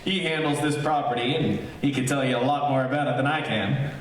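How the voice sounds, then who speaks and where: A man playing a jokey, skeptical broadcaster in an old-time radio drama, chatting in halting asides to his listeners. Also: mid-range voice, steady tone, lightly acted. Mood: chatty, glib. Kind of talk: 1940s radio acting, live on-location commentary. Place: echoey hall, stage-style radio play performance. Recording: distant, very echoey room with faint noise.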